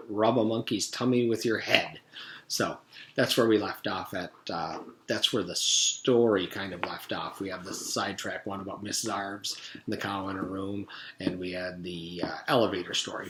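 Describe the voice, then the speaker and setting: A man's voice: fairly low pitch, steady tone, moderate pace, fairly bright tone. A man in his forties, a teacher, reading a children's story aloud with casual recaps. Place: room at home. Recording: roomy echo, background noise.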